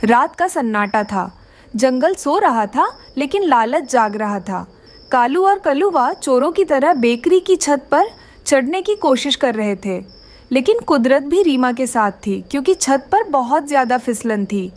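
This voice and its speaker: A woman narrating a children's cartoon story with expressive storytelling. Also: high-pitched, a little slow.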